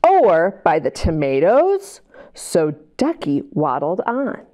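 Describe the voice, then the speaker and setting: A middle-aged American woman hosting a library storytime, reading aloud to young children in an expressive, sing-song way and drawing them in with questions. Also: fairly low pitch, takes it slowly. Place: indoor library children's area. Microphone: lapel mic.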